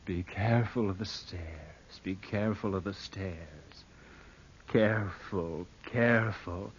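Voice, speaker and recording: A man's voice: sits low, takes it slowly, slight background noise. An actor playing a scheming, bitter nephew who broods as he narrates in an old-time radio drama. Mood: haunted, obsessive. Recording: dull vintage radio recording.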